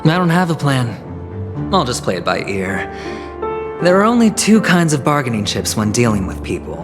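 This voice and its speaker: A man plays a smooth young male gambler in a video game, sly and calculating, his voice rising and falling freely. He talks over steady game music. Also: mid-range voice, moderate pace, natural voice. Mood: scheming. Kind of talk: game voice acting. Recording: game audio with music behind.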